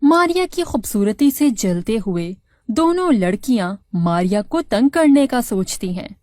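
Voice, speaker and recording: Slow, lively woman storyteller. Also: fairly high pitch, no echo, clean studio sound. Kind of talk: scripted children's story narration.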